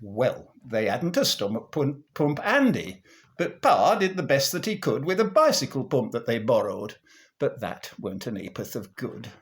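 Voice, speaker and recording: A man reciting a comic verse monologue in a theatrical, measured storytelling manner. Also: mid-range voice, very animated. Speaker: monologue performer.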